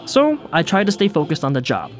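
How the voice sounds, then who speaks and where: A man's voice: a little high, huge pitch swings, a little fast. Wry male documentary narrator. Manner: wry, self-deprecating. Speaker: host and narrator.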